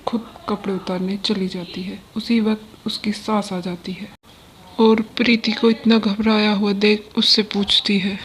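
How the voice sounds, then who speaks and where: A woman's voice: medium pitch, little pitch movement, a little slow, slight background noise, low-quality recording. A woman storyteller reading scripted narration for a cartoon moral story.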